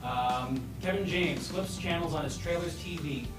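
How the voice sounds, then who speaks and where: A man's voice: on the high side, normal speed. A man narrating stage directions at an informal comedic table read in a loose, amused, stumbling way. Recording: echoey room, distant mic, loud music behind.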